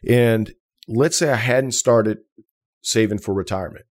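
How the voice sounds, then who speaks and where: A man's voice: lowish voice, measured pace. A man in his forties co-hosting a personal finance podcast, explaining retirement saving in an easygoing, good-humored way. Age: 40s. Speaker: financial advisor and podcast co-host. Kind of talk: casual financial podcast chat.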